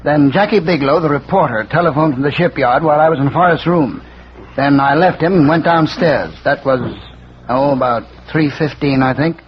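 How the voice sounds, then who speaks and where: A man's voice: a little high, normal speed, close to an everyday voice. An actor playing a plain-spoken, insistent working man pleading his case in a 1940s radio drama. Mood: defensive, earnest. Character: earnest, defensive working man under police questioning. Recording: noisy muffled vintage radio recording.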